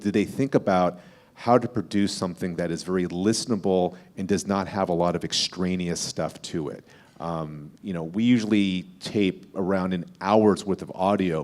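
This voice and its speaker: Low-voiced man talking on a panel. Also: a little mellow. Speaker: podcast host on a panel. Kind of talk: conversational panel talk.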